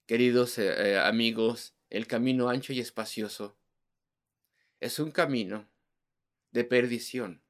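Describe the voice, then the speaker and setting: A man's voice: mid-range voice, a little slow, long pauses. A man preaching an evangelistic sermon, driving his points home with rhetorical questions and repeated words in lists.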